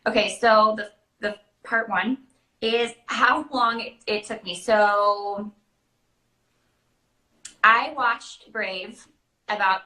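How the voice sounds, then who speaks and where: A woman's voice: mid-range voice, fairly even intonation, takes it slowly, long pauses, bright, forceful tone, reverberant, rough recording. A woman hosting a casual live chat, explaining things informally as she answers viewer questions. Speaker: children's party princess performer and live-stream host.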